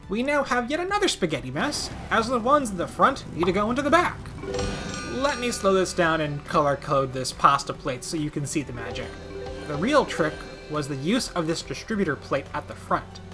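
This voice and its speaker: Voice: medium pitch, sharp tone. A video game commentator narrating a scripted gaming video with wry, playful asides.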